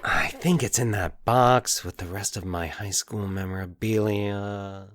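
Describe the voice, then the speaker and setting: A man's voice: lowish voice, steady tone, fairly bright tone, no room sound, studio quality. A man playing a wistful young man in an audio drama, earnest and hesitant in manner. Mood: wistful, musing. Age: young adult. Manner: halting, earnest.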